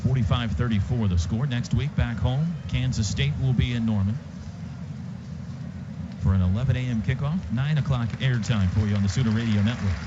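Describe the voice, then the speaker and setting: An American man doing live play-by-play football commentary on the radio, with excitable, dramatic phrasing. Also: mid-range voice, a little fast. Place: stadium radio broadcast booth. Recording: dull lo-fi radio broadcast, loud stadium noise. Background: loud outdoor stadium noise.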